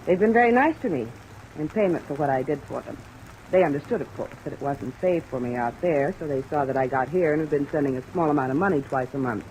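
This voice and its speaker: A woman's voice: low voice, animated, quick pace, darkish tone, low-quality recording. An actress playing a polite, secretive woman on the run in an old radio drama, composed and apologetic. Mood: polite, guarded. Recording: vintage radio recording, rain noise.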